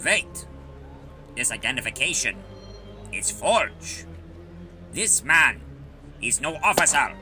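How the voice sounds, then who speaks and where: An actor playing a suspicious, officious Nazi officer in a fan audio drama, with a barking, accusing manner. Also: male voice, mid-range voice, sing-song, slow pace, long pauses, over music. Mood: stern, accusing.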